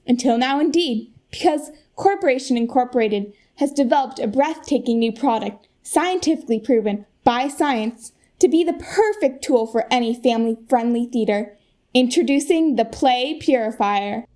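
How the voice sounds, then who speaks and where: An earnest corporate presenter, played by a young American woman with a high voice. She sells emphatically and smoothly, as in a mock keynote for a radio comedy. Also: normal speed, dark tone, lightly acted. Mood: polished, salesy. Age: young adult. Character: earnest, polished corporate saleswoman Shelley pitching a product.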